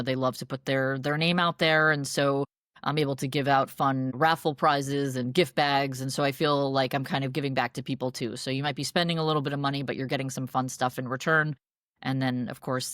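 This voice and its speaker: A woman guest in a podcast interview, upbeat and expressive, with frequent restarts and laughs. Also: extremely low pitch, no echo, studio quality. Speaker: guest, dog meetup organizer. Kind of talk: casual interview chat.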